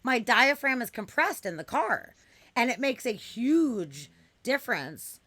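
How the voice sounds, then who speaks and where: A raspy-voiced woman from the US West Coast in her thirties, a podcast guest chatting casually and bluntly, swearing freely as she tells stories. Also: fairly high pitch, sing-song, a little slow, pushed and bright. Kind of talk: casual chat.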